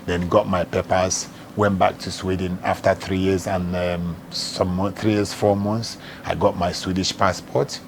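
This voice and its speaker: A middle-aged man with a Nigerian accent recounts his past in a steady, earnest way. His voice sits low, and a faint hiss runs under it. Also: unhurried, creaky, hardly any pauses. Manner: earnest, steady storytelling in plain words. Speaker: evangelist and charity founder, interview guest. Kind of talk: telling his life story in an interview.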